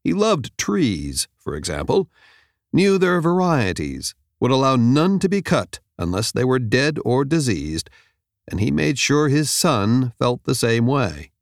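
A man reads a nonfiction audiobook in a measured, clearly enunciated way, close to the microphone. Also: medium pitch, sing-song, no echo. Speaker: audiobook narrator. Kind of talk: scripted nonfiction audiobook narration. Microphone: close studio microphone.

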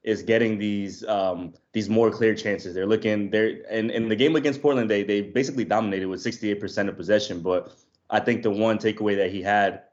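A low-pitched man from Colombia talks through a soccer matchup in a calm, measured way, hedging his points as he goes. His audio comes over a remote line and sounds a little dull and lo-fi. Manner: measured, hedging with "I think" and restarts. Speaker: soccer reporter guest. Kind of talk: analytical sports podcast chat.

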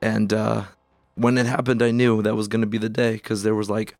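A man's voice: lowish voice, completely flat delivery, brisk, gravelly, a little mellow, no room sound, soft background music. A man hosting a casual chat, congested and losing his voice, talking in loose rambling bursts. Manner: rambling, filler-heavy. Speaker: podcast host.

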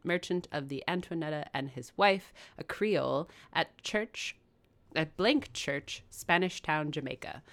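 A woman's voice: low-pitched, a bit bright. A woman voicing a formal male lawyer with a nasal voice, reading out an official document.

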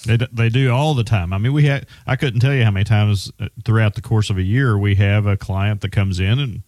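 Plainspoken low-voiced male financial advisor. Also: fairly quick, no echo. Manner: relaxed, plainspoken, many fillers and restarts. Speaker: financial advisor, show host. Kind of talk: conversational radio finance talk.

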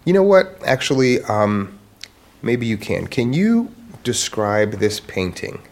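An actor playing a guarded, gently testing blind retired painter in an audio drama, speaking in a halting, understated way. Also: male voice, medium pitch, big pitch swings. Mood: insistent. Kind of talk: naturalistic audio drama acting.

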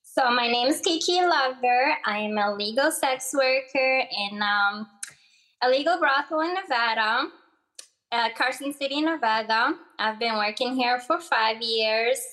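Moroccan-accented woman guest, Arabic native, giggly and light, slightly high voice. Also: a little slow, a bit bright, rough recording. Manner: giggly, playful. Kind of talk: casual interview chat.

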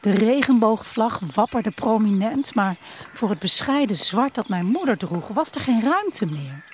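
A woman's voice: on the high side, big pitch swings, a little slow, a little hiss or hum. A woman narrating an audio drama in the first person, reflective and intimate in her storytelling. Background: music.

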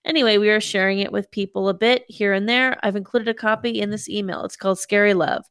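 A woman's voice: medium pitch, steady tone, fast talker, fairly bright tone, clean tone, no room sound, studio quality. A woman in her thirties co-hosting a podcast, chatting casually and reading listener emails aloud. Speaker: podcast co-host.

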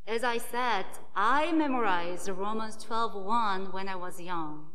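Korean-native lady pastor preaching real slow, kinda high voice, big echoey hall. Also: lively intonation, sharp tone. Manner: slow, measured reading of a prepared sermon. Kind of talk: sermon.

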